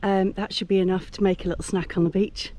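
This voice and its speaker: A woman's voice: mid-range voice, level tone, fast talker, warm tone. A middle-aged woman vlogging outdoors on a windy beach, talking upbeat and chatty to an action camera at arm's length. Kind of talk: casual vlog narration. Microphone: action camera held at arm's length.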